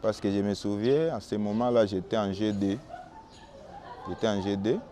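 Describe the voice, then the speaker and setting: A young man from Bukavu with a Congolese French accent, Swahili his first language, calmly answering interview questions as a football goalkeeper. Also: on the low side, soft background music. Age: young adult. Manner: calm and measured, with small restarts.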